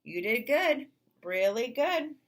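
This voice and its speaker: A woman's voice: on the high side, big pitch swings, takes it slowly, pitched above the performer's own voice, exaggerated voice. A woman voicing a firm but loving working mother from a storybook, with folksy, no-nonsense talk. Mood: proud, delighted.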